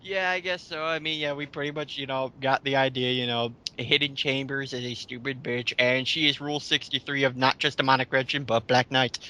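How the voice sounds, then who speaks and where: A man's voice: medium pitch, level tone, a little fast, few pauses, sharp tone, clear, resonant tone, rough recording. A man co-hosting a casual commentary show, sarcastic and sweary as he chats and mockingly reads things out. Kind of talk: casual chat and mocking read-aloud of online comments.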